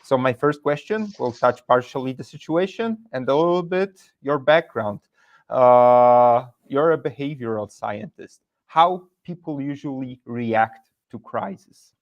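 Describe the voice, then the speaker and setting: A man hosts an online interview over a video call. His voice sits at a middle pitch and comes through slightly muffled. He talks formally and stops often on "uh". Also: expressive, a little slow. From Poland. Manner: formal, hesitant with "uh" fillers. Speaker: webinar host.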